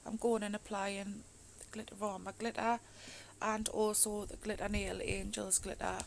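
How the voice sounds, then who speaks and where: Woman, home nail vlogger, gravelly voice with a cold, drifting into whispers, faint room hum behind. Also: medium pitch, flat delivery, moderate pace. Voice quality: gravelly, often slips into whisper. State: losing her voice, with a cold. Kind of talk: casual voice-over tutorial.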